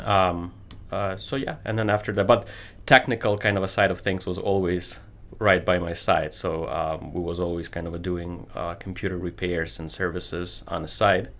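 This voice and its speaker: This is a man from Ukraine in his thirties, talking in a casual interview. His English carries a Ukrainian accent and his voice sits very low. He stops and restarts a lot while he looks for the right words. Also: steady tone, a bit bright. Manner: halting, searching for words, many ums. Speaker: guest, computer business owner.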